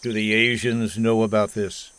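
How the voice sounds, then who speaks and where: A man's voice: lowish voice, flat delivery, normal speed, fairly bright tone, smooth, close to an everyday voice. A man playing a belligerent army colonel in a science fiction radio drama, authoritative and used to giving clipped orders. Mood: belligerent, triumphant. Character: hawkish army colonel, commanding, suspicious and belligerent. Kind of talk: old-time radio science fiction acting.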